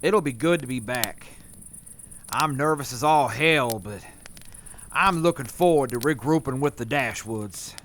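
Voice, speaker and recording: A man voicing a steady group leader who talks in a practical, reassuring way. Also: medium pitch, a little slow, a little hiss or hum, lightly acted.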